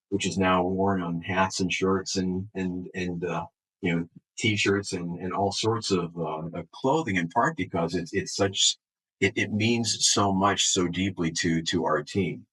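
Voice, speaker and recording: A very low-voiced man with a markedly husky, rough tone talks as an executive being interviewed. He answers unscripted, often doubling back on words and restarting his phrases as he explains. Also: fairly bright tone, poor audio. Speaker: health system chief executive, interview guest.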